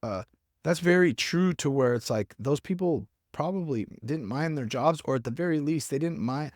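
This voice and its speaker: A man's voice: on the high side, moderate pace, no room sound, studio quality. A man hosting a casual podcast, rambling off on tangents and filling his talk with "like" and "you know". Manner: rambling, tangents, filler-heavy. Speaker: podcast host.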